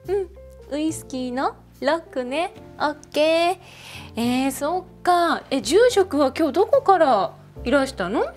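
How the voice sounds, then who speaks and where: Woman acting as a chatty snack-bar hostess, high voice, lively swings in pitch, gushing feminine talk, faint music behind. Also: a little slow. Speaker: bar hostess in a role-play. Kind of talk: one-sided role-play with an unseen customer.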